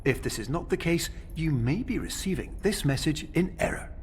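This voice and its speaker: An actor playing a soothing, formal, wordy doctor giving a recorded therapy message in a game. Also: male voice, on the high side, lively intonation, moderate pace, a little hiss or hum, close to an everyday voice. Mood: polite, soothing. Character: soothing formal male doctor running experimental sleep therapy.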